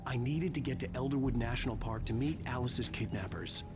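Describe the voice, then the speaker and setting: A man's voice: mid-range voice, brisk, close to an everyday voice. A male actor plays a haunted writer in a thriller video game. His delivery is grave and clipped, brooding over every line. Prominent music runs behind his voice. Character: haunted, terse male crime writer narrating his nightmare. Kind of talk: moody first-person thriller narration and dialogue.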